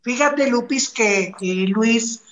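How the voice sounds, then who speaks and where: A woman's voice: a little high, slow. A middle-aged Mexican woman giving casual, blunt commentary as a talk show panelist on a video call. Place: indoor room, on a video call. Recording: slightly dull video-call audio.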